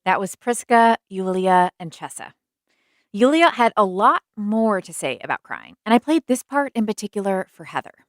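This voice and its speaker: A woman hosting a radio interview, asking questions in a curious, measured way. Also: medium pitch, lively intonation, dry recording, clean studio sound. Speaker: radio host.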